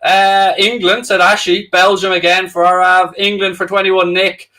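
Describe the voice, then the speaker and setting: A man's voice: sits high, level tone, normal speed, bright, forceful tone. A man in his late thirties hosting a live football watchalong, reading viewer comments and enthusing about the tournament. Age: 30s. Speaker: host.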